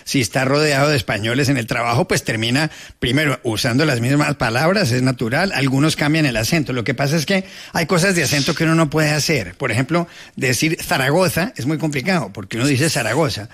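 A man's voice: mid-range voice, brisk, hardly any pauses, a bit bright, husky. A man from Colombia living in Spain, chatting on a radio show and explaining things with frequent restarts. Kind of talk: casual chat.

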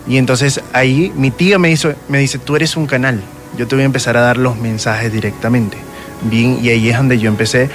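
A Venezuelan man speaks as an interview guest, recounting personal experiences in a conversational way. He has a mid-range voice and often checks he is understood. Quiet music sits behind him. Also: medium pitch, fairly even intonation, brisk. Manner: frequent check-in tags. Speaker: guest, self-described medium.

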